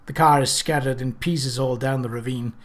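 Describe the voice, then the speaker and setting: A man's voice: medium pitch, steady tone, normal speed, lightly acted. A man reads as a competent, watchful policeman in a storybook. He speaks evenly and firmly, setting things out in a methodical, matter-of-fact manner. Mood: measured. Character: level-headed, vigilant male police officer.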